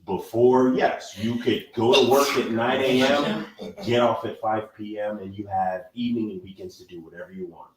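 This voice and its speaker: A man's voice: lowish voice, steady tone, normal speed, echoey room, far from the mic, a crowd in the background, low-quality recording. A man hosting a podcast, lecturing in an emphatic, preachy way during a casual discussion. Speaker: podcast host.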